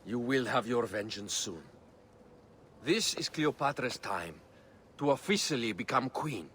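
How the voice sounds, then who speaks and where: A calm, resolute warrior. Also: male voice, medium pitch, a little slow, a little hiss or hum. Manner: calm, grave, reassuring.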